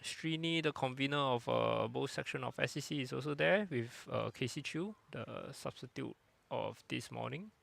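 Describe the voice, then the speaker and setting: A man giving calm, unhurried live commentary on a lawn bowls match. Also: medium pitch, creaky. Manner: calm, unhurried, plain-spoken.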